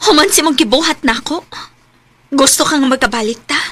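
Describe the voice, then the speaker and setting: High, bright, proud young woman, Filipino-accented. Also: no room sound. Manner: emotional, dramatic, expressive. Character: wronged young woman Yana, proud, emotional, newly a mother. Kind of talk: radio drama acting. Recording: noisy radio drama recording.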